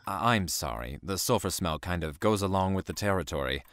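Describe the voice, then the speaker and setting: A man's voice: low-pitched, animated, a little fast, fairly bright tone, no echo. A glib devil salesman. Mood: smooth, salesy. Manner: glib, persuasive, wounded when doubted. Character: smooth-talking devil as door-to-door salesman, male. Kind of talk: audio drama acting.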